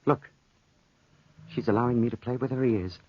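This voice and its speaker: An actor playing a cruel, teasing soldier in a theatrical 1940s radio drama style. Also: male voice, mid-range voice, moderate pace, husky, close to an everyday voice. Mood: fascinated, amused. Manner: taunting, theatrical. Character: stranded soldier, cruel and teasing, fascinated by a panther. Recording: muffled vintage 1940s radio recording.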